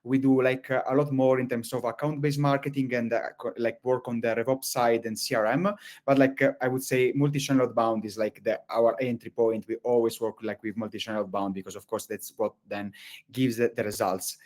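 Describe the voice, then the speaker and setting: A man's voice: mid-range voice, level tone, fairly quick, darkish tone. A man from Italy with an Italian accent, presenting a live webinar over a video call, talking in loose, rambling sentences full of fillers and restarts.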